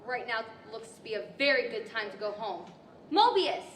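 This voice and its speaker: A woman plays an eager, proper little girl in a live radio play. She is high-voiced and very animated, full of wide-eyed exclamations and questions. The hall echoes around her. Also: moderate pace. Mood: reflective. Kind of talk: 1940s radio-theater acting. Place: large library hall, live stage performance. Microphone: stand microphone. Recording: echoey hall, distant mic, faint noise.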